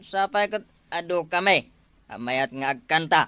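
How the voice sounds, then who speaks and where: A man's voice: fairly high pitch, expressive, a little slow, sharp tone. A man playing a villager pal in an Ilocano comedy skit. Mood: pleading. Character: villager pal asking to borrow the guitar.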